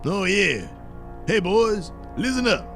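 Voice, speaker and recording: A man's voice: high voice, sing-song, slow, gravelly, fairly bright tone, faint music behind, voice pushed up, heavily acted. A man playing a gleeful cartoon elephant crime boss. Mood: taunting.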